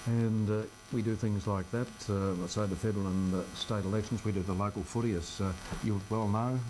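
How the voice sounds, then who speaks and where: A man's voice: on the low side, steady tone, fairly quick, a little mellow, slight background noise, poor audio. An older Australian man, a local radio presenter, chatting informally in an interview with a wry, self-deprecating manner. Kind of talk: casual interview chat. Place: radio studio.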